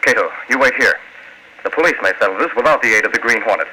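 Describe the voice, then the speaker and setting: A decisive, authoritative American hero of vintage radio drama, played by a male actor talks quickly and with lively pitch. His speech is crisp and polite, and there is clear noise on the old broadcast recording. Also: lightly acted. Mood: concerned, purposeful. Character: decisive newspaperman, secretly a masked vigilante.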